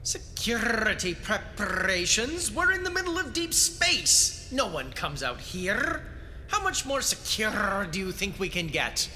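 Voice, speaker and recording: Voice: mid-range voice, very animated, unhurried, bright, forceful tone, slight background noise, heavily acted. An actor playing an indignant, self-important scientist in an audio drama, flustered and sputtering. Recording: echoing hall with faint music.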